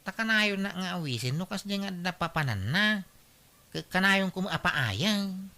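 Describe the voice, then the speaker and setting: A woman plays an idle, carousing grown child in an Ilocano comedy drama. The voice is sharp and swings up and down with whiny exclamations, in an Ilocano accent. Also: mid-range voice, lively intonation, normal speed. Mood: casual scheming.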